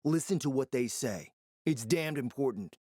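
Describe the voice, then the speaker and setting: A man acts out a cunning male spymaster for a dramatic video game. His delivery is theatrical and highly animated, swinging between sly sarcasm and pressing urgency. The sound is dry, with no room around the voice. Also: normal speed, no room sound, lightly acted. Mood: reproachful pleading.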